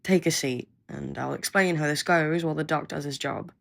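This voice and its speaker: A woman's voice: low-pitched, moderate pace, creaky, heavy background noise. A woman acting a snarky, impatient scientist with a blunt, sarcastic manner in an audio roleplay. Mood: grudging, dismissive. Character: snarky, impatient female scientist, grudgingly welcoming.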